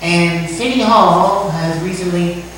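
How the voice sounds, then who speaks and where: A woman playing a self-important male talk show host obsessed with birds, pompous and deliberate, in old-time radio comedy style. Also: lowish voice, fairly bright tone. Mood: officious welcome. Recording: echoey room, distant mic, faint noise.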